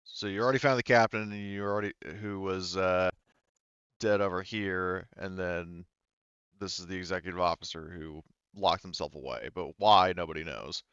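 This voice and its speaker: A man running a tabletop game as game master, talking informally and haltingly as he searches for words. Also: low voice, a bit bright, smooth, no echo, highs cut off. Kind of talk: casual game narration at a tabletop session.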